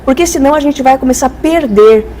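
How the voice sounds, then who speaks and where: A woman's voice: high voice, quick pace, dark tone, a little hiss or hum. A Brazilian woman speaking as an expert guest in a conversational, didactic way, illustrating with acted-out examples. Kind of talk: conversational explanatory interview.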